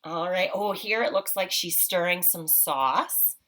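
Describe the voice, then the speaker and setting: A woman's voice: on the low side, unhurried, fairly bright tone. A woman teacher leading a read-aloud picture walk for children, explaining simply and asking frequent, encouraging questions.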